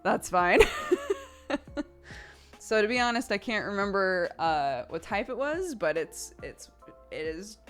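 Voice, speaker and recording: Giggly chatty woman streamer, lively pitch. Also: unhurried, long pauses, faint music behind. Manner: chatty, giggly, swears casually. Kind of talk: casual chat with stream chat.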